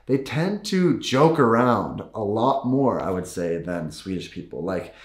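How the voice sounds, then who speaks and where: A man's voice: lowish voice, animated, measured pace. A man with an American accent talking casually to his viewers as a vlogger. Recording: roomy echo.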